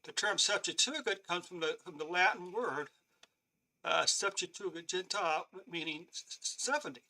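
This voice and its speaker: Male Bible teacher, high and very bright voice, halting delivery, clears his throat often. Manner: checks in with "okay?" often; reads from on-screen notes and explains them. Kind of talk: live-streamed Bible lesson.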